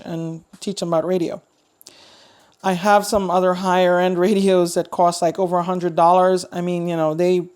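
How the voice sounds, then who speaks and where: A woman's voice: fairly low pitch, darkish tone. A woman giving a casual, chatty product review, thinking aloud as she demonstrates. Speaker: host of a radio review channel.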